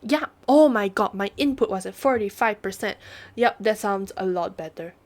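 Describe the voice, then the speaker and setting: A woman's voice: mid-range voice, moderate pace, a little mellow. A young woman chatting casually on a live stream, sniffly from a runny nose, rambling and laughing at herself. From Singapore. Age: young adult. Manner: giggly, rambling, self-mocking. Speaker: VTuber streamer. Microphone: home streaming microphone.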